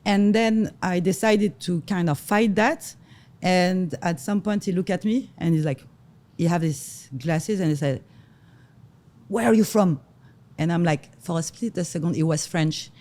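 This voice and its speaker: A middle-aged woman from Morocco tells broker anecdotes in a relaxed interview. She acts out the people in her stories and laughs often. Her voice sits a little low and sounds soft and mellow. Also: unhurried. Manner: animated, acts out quoted dialogue, laughs often. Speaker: luxury real estate broker. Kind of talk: casual interview storytelling.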